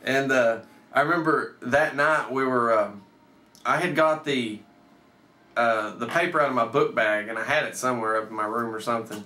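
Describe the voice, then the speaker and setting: A man's voice: mid-range voice, normal speed, bright, forceful tone. A man in his thirties telling a personal story casually, with swearing and acted-out bits of dialogue. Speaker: storyteller, host. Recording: roomy echo, off the mic.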